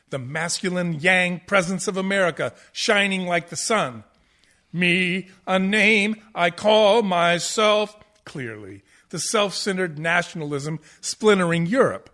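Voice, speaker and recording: An actor playing a pompous, condescending theatre professor who lectures pedantically in a comic radio play. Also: male voice, high-pitched, slow, pushed and bright, exaggerated voice. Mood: smug, explaining. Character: pompous, condescending male musical-theatre professor, absurdly over-analytical. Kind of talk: comic radio play acting as interview guest.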